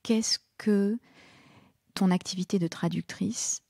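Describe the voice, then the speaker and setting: Podcast host, woman, husky and mellow, casual questioning on a dry mic. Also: medium pitch, fairly even intonation, takes it slowly, dry recording. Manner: friendly, informal questioning with hesitations. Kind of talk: casual conversational interview.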